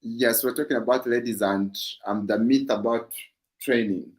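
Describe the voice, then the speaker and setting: Deep-voiced male livestream host. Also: fairly even intonation, moderate pace. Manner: explains plainly, with fillers. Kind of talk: casual fitness chat.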